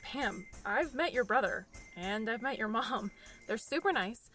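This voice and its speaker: An actress playing a blunt, inquisitive, wry young friend in a naturalistic audio drama. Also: female voice, fairly high pitch, animated, measured pace, pushed and bright, faint music behind, poor audio.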